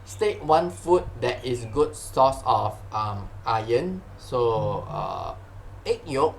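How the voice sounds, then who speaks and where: A man's voice: medium pitch, big pitch swings, slow, gravelly, slight background noise. A man with a Malaysian accent teaching science informally, repeating phrases and tagging sentences with "lah" and "huh". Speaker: science tutor. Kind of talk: informal exam-question lesson.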